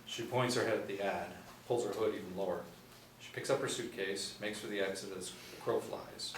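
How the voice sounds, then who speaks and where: Low-pitched man narrating in an echoey room. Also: fairly even intonation, moderate pace. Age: 40s. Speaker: narrator of stage directions. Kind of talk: scripted narration. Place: small room indoors. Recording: roomy, distant mic, faint noise.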